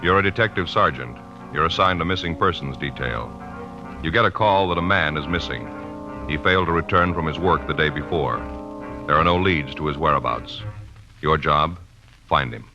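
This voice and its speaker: A man narrating a scripted 1950s radio introduction in a solemn, deliberate manner. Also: a bass voice. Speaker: radio announcer. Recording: muffled old radio recording with music.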